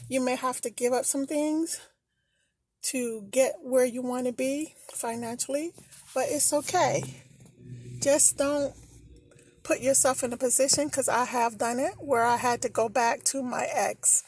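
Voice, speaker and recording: A woman vlogging motivational tips in an encouraging, slow and measured way, filmed on a phone while out on errands. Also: high-pitched, fairly even intonation, darkish tone, breathy edge. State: slightly hoarse. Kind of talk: encouraging casual vlog talk.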